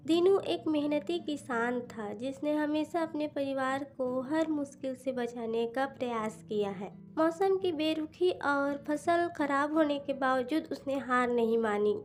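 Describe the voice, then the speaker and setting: A woman reading scripted storybook narration for a cartoon. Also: sits high. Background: instrumental music.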